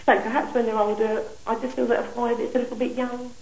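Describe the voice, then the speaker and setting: A middle-aged woman, calling a phone-in and giving her opinion in a calm, measured way. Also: on the high side, reverberant, background noise. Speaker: phone-in caller. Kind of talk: conversational opinion on a live call.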